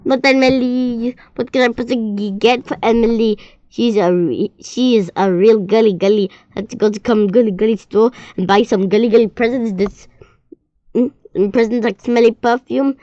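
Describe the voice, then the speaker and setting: A woman reading a children's story aloud, expressive and often stumbling and repeating words. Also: on the high side, moderate pace, no room sound, lo-fi sound.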